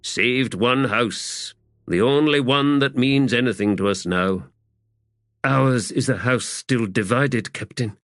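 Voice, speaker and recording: A man's voice: medium pitch, takes it slowly, a bit bright, dry recording, clean studio sound, pitched above the performer's own voice. A man voicing a solemn soldier in a fantasy audiobook, grave and declamatory, as if foretelling.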